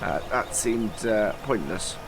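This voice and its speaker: A man's voice: on the low side, expressive, slow pace, gravelly, noisy background. Chatty man, nasal with a cold. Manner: chatty, rambling, many uh fillers. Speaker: gaming channel host. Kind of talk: casual let's-play commentary.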